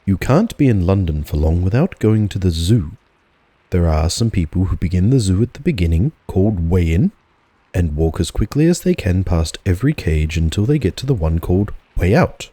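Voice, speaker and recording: A man reads a storybook in a relaxed audiobook style. His voice is low and mellow with a husky edge. The delivery is unhurried storytelling. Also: dry recording. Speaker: audiobook narrator.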